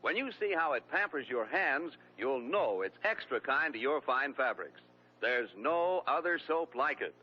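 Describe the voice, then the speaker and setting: A man's voice: mid-range voice, sing-song, a little slow. A man reading a soap commercial in a persuasive 1940s radio announcer style. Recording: dull lo-fi old radio recording with hum.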